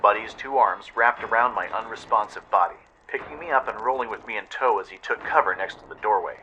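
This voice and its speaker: A man's voice: fairly low pitch, brisk, pushed and bright, highs cut off, a little hiss or hum. A man narrating a scripted adventure story dramatically, as in a radio play. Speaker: narrator and radio show host.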